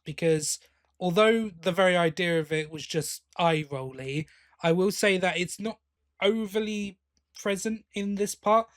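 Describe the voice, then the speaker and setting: Male podcast co-host, slightly high-pitched, conversational fan talk, dry sound. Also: no echo. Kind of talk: casual fan discussion.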